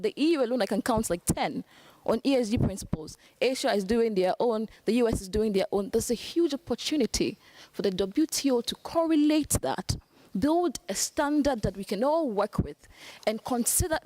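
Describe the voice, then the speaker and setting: Young female panelist from Africa, fairly high voice, earnest and emphatic, very dry sound. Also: mellow, dry recording. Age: young adult. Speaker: youth panelist. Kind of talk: panel discussion remarks.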